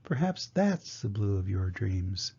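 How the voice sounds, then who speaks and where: Older man as a thoughtful bluesman, dark husky voice. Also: on the low side, very animated, unhurried, voice pushed down, exaggerated voice. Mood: solemn, reflective. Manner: solemn, reflective telling. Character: kind, thoughtful bluesman in a storybook. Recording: dull, muffled lo-fi sound.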